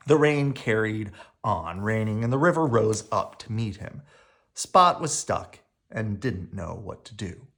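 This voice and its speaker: Children's read-aloud narrator: mid-pitched, leisurely, highly varied delivery, playful and dramatic. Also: expressive, unhurried, fairly bright tone. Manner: dramatic, with playful sound words. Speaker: children's book reader. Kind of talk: animated read-aloud storytelling.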